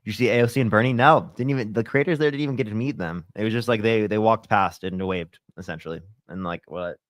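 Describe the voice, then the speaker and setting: A man's voice: lowish voice, fast, dry recording. A young man hosting a casual livestream, answering chat in a laid-back way full of fillers, a little congested with a sore throat. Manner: laid-back, filler-heavy, lots of "like" and "you know".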